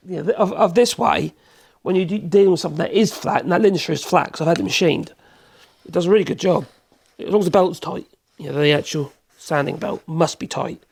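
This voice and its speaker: Hoarse man, gravelly. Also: a little high. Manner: rambling, chatty, self-correcting, chuckles. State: hoarse with a cold. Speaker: woodworking channel host. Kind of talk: casual workshop demonstration. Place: workshop.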